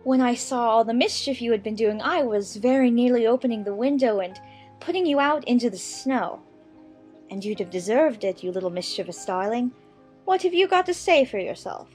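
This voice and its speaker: A woman playing a lively, chattering little girl who mock-scolds her kitten in a dramatized children's storybook. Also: on the high side, normal speed, soft background music, close to an everyday voice. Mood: playfully stern.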